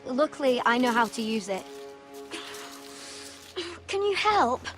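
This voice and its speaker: A proud, stubborn young herbalist who speaks slowly and calmly in a high voice. Faint game music sits under her lines. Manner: calm, measured, knowing. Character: proud, stubborn young woman skilled with herbs. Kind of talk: video game cutscene acting. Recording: faint game score behind.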